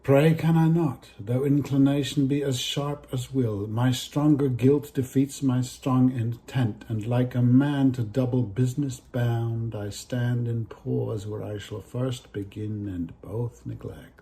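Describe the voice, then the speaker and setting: A man's voice: medium pitch, fairly even intonation, unhurried, few pauses, dark tone, close to an everyday voice. A man acting a guilt-ridden murderous king in a solemn, declamatory Shakespearean stage soliloquy. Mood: anguished, brooding. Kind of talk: classical stage soliloquy recitation.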